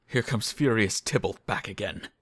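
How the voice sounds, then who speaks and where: A man's voice: fairly low pitch, moderate pace, fairly bright tone, husky, dry recording, studio quality. An actor playing a loyal, earnest young nobleman with a dramatic delivery in a modernized Shakespeare audio drama. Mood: urgent.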